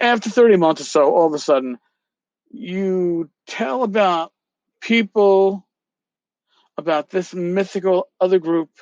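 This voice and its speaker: A man hosting a podcast, talking casually and reading aloud in an emphatic, theatrical, sometimes halting way, on a slightly lo-fi recording. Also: sits high, dry recording. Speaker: podcast host. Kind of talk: casual talk with dramatic reading of emails aloud.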